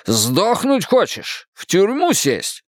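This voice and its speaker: Man acting stern, exasperated father, animated delivery. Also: medium pitch, unhurried, no echo, studio quality. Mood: angry. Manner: firm, blunt, commanding. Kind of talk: dramatised audiobook acting.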